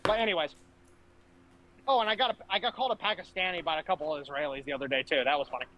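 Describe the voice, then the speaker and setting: A male podcast host with a high-pitched, bright and forceful voice. He talks casually about politics, blunt and brash, with plenty of swearing thrown in. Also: fairly even intonation, very fast, muffled recording, slight background noise.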